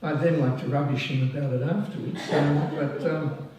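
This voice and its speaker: An older man, born in France, giving a halting, self-deprecating speech at a ceremony, full of ums and restarts. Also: on the high side, fairly even intonation, a crowd in the background. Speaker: past president honoured at a society oration. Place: large hall at a public event. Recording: echoey hall, voice from far off. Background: audience laughing.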